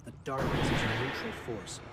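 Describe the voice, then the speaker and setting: An actor playing a small floating robot companion in a video game, earnest, cautious and keen to explain. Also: male voice, mid-range voice, sing-song, moderate pace, close to an everyday voice. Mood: suspicious. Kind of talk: dramatic game voice acting. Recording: loud game music behind voice.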